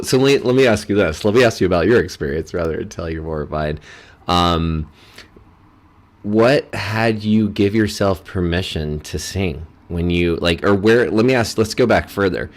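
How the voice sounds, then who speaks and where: A man with a very deep voice hosts a casual interview. He is encouraging and asks lots of questions, often stopping to rephrase one. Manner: warm, encouraging, asks questions and restarts them. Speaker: podcast host.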